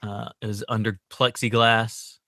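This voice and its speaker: A man in his thirties co-hosting a comedy podcast, bantering casually with a dry, deadpan delivery. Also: on the low side, unhurried, gravelly, no echo. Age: 30s.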